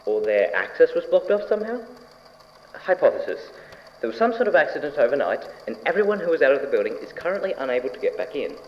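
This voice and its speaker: An eccentric, slightly unhinged male scientist, acted by a man dictates an audio-drama lab log. His pitch swings about as he muses and questions himself with blithe enthusiasm. The tape is dull and lo-fi, with clear noise behind the voice. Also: big pitch swings, quick pace. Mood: eager, puzzled. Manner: musing aloud, rhetorical questions to himself.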